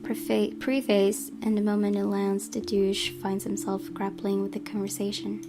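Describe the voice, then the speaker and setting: A woman's voice: mid-range voice, flat delivery, moderate pace. A woman reading a visual novel aloud as a gameplay host, in a storytelling narration style. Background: guitar music.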